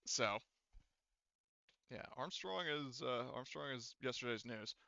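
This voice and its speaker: A man's voice: medium pitch, animated, slow, gravelly, bright, forceful tone, dry recording, lo-fi sound. A man speaking as game master, running a tabletop role-playing game and explaining rules and outcomes to players.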